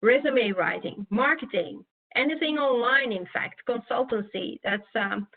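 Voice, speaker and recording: A middle-aged woman from Belgium with a fairly high voice talks earnestly as a guest speaker, pausing on fillers and restarting her sentences. Her audio comes through a thin, phone-like video call line. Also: lively intonation. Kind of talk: webinar talk.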